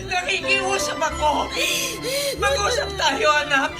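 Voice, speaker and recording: A middle-aged mother in melodramatic radio drama style. She is urgent and imploring, her extremely high voice set over heavy background music. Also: lively intonation, a little slow. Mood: pleading, tearful.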